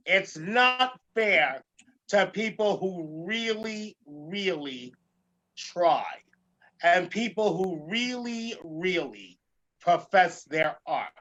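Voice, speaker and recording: A man hosting a talk show as a comedian and drag performer, ranting emphatically in a theatrical way with a gravelly edge and repeating phrases for effect. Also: sits high, animated, very slow, bright, forceful tone, dull audio. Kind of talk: animated talk show rant.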